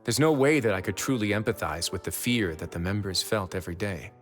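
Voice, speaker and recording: Man acting a deep-voiced, imposing prison duke. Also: a little fast, over music, lightly acted. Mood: calm, considerate. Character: calm, imposing male duke who runs a prison fortress. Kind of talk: video game voice acting.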